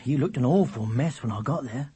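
A man's voice: mid-range voice, fairly quick, warm tone, slightly rough. A man voicing a schoolboy older brother in a storybook, kindly, hesitant and a little lofty. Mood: kindly, reserved.

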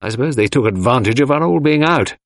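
A man voicing a good-natured gentleman in an audiobook reading, speaking in indignant, clipped exclamations. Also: medium pitch, expressive, quick pace, dry recording, studio quality, pitched above the performer's own voice, lightly acted. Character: good-natured country gentleman, now irritated.

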